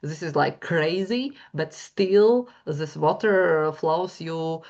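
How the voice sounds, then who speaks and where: A woman's voice: low-pitched, slow pace, darkish tone, dull audio. A woman with a Latvian accent giving a webinar talk as a university lecturer, hesitant and full of fillers as she searches for words. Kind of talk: webinar presentation, screen-share demo.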